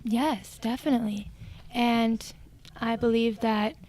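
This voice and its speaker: A woman's voice: on the high side, fairly even intonation, slow pace, darkish tone. A woman playing a self-serious psychic and witch, matter-of-fact and insistent in a comedy podcast interview. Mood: self-serious, assured. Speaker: podcast guest. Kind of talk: improvised mock interview.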